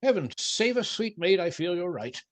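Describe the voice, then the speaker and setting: An actor as a pious, genial man of an outlaw band in a radio drama. His voice sits a little high and he makes wry, courtly apologies. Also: sing-song, normal speed. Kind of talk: theatrical radio play acting.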